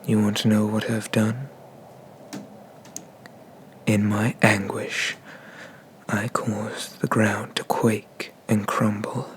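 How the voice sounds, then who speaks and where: A man performs an arrogant, vengeful dragon deity for an ASMR roleplay. The voice is low and very unhurried, with cold disdain and menace that often drops into a whisper. Noticeable background noise runs under the recording. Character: haughty, vengeful dragon god exiled to a temple.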